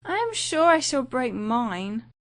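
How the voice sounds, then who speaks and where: A woman's voice: high-pitched, sing-song, slow, dry recording, clean studio sound, pitched above the performer's own voice, exaggerated voice. A woman voicing a spoiled, dramatic teenage girl in a melodramatic, wailing manner for an audiobook.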